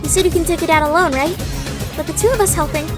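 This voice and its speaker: A woman's voice: very high-pitched, fast talker. A woman playing a bold, teasing teenage girl fighter in a fan audio drama. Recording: music behind the voice.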